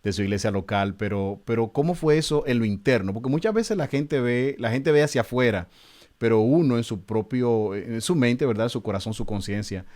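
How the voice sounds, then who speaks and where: A man in a pastor's role hosts a conversation at a mid-range pitch, speaking politely to his guest. He often restarts his phrases and repeats words as he gathers his thoughts. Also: normal speed, a little mellow. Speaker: pastor and host. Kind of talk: interview.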